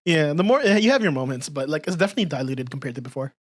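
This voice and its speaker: A woman's voice: low voice, expressive, very fast. A woman in her twenties with a slight Filipino accent, co-hosting a casual podcast chat, talking directly and laughing often. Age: 20s. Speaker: podcast co-host.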